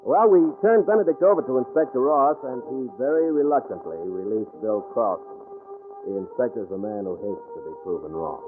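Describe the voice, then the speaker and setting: An actor playing a brash, streetwise American detective in a wry, slangy 1940s radio drama style. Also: male voice, mid-range voice, animated, a little fast, music in the background, lightly acted. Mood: wry narration. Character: brash wisecracking male detective Johnny, streetwise, exasperated, fond of his partner. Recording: muffled, band-limited old radio recording.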